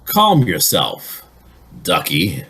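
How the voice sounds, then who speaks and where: A man playing an excitable, needling workmate in an old-time radio comedy. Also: low voice, drawn-out words, heavily acted. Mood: teasing. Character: teasing, exasperated male workmate in radio comedy.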